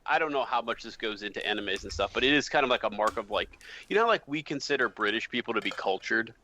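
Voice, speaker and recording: A man's voice: mid-range voice, animated, fast, pushed and bright, slight background noise. An American man chatting casually as a podcast panelist, rambling with lots of fillers. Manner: loose and rambling, heavy use of "like". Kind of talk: casual chat. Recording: slightly muffled dry mic.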